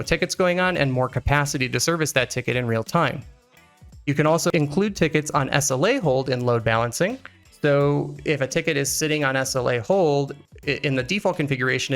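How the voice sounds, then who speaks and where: Faint music plays under a man narrating a software tutorial. His voice sits at a middle pitch with a noticeable gravelly fry. He explains like an instructor, conversationally, posing questions and answering them himself. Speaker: instructor, engineering executive. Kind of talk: software tutorial narration over screen recording. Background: background music.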